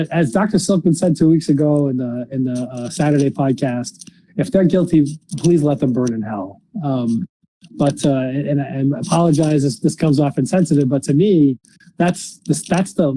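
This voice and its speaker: Halting male podcast host with dark, mellow voice. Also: fairly high pitch, poor audio. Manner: halting, repeats and restarts phrases often. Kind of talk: unscripted case discussion on a podcast.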